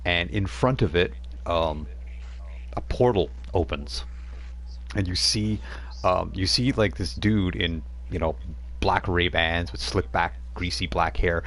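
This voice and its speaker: A man's voice: low voice, slow, a bit bright, a little hiss or hum. A man narrating as game master of a tabletop role-playing game, rambling with many fillers.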